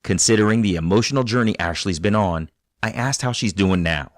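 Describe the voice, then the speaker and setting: A man hosting a podcast, narrating a guest's story and interviewing her in a calm, measured, encouraging way. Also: fairly low pitch, dry recording, clean studio sound. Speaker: podcast host.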